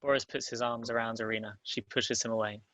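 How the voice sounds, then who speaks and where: A man's voice: mid-range voice, steady tone, fast talker, a bit bright, dry recording, muffled recording. A man reading stage directions as the narrator of a screenplay.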